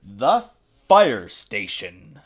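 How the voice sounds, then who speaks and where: Man, children's story narration, expressive, very varied pitch, dramatic emphasis. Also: extremely slow, husky. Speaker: father reading to his young daughter. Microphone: USB desktop mic.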